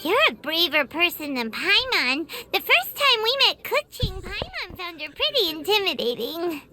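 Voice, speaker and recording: Tiny excitable fairy sidekick, extremely high squeaky child voice, animated delivery, game music underneath. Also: female voice, moderate pace. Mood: whiny. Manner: speaks of self in third person. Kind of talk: video game voice acting.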